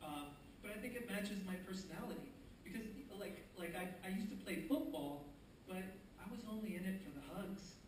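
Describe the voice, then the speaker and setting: A young man doing stand-up, soft-spoken and hesitant, with self-deprecating asides and frequent ums. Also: high-pitched, animated, a little fast. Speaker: stand-up comedian. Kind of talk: stand-up set. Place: theater stage. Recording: echoey theater, distant mic, crowd noise.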